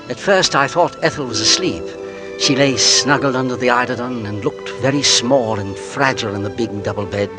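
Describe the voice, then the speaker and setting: An actor playing a polite, nervous husband who narrates a 1940s radio drama. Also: male voice, mid-range voice, lightly acted. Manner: genteel, polite, nervously hesitant. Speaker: narrator and lead. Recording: old radio broadcast with music.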